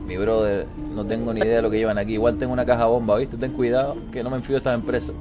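A man's voice: medium pitch, level tone, very fast, over music. A man chatting and commenting casually while gaming, brash and full of swearing. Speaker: streamer playing a roleplay game.

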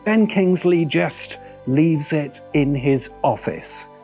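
Middle-aged man, lively wry film commentary. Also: on the high side, slow, faint music behind. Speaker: video essay host. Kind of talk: film commentary video essay. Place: open-air veranda.

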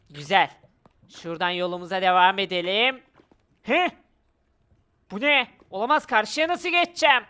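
Bright, crisp-voiced woman gamer. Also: a little high. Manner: exclamatory, dramatic reactions to obstacles. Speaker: gaming video player. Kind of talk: playful Minecraft adventure commentary.

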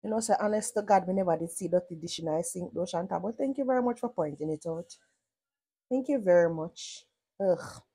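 A woman's voice: lowish voice, fairly quick, warm tone, breathy edge. A woman from Jamaica with a Jamaican accent gives sarcastic reaction commentary, blunt and full of profane patois.

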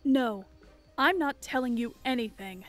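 A woman's voice: fairly high pitch, unhurried, soft background music, natural voice. A woman playing a defiant, suspicious young island captive in a fantasy audio drama, curt and scornful.

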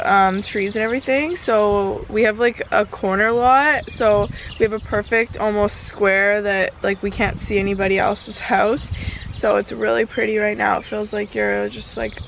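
A woman in her twenties chatting casually and informally in a rambling vlog, recorded outdoors on a camera held at arm's length. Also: fairly high pitch. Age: 20s. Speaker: lifestyle vlogger. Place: mostly outdoors in a leafy backyard, briefly a home kitchen. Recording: outdoor background noise, handheld camera.

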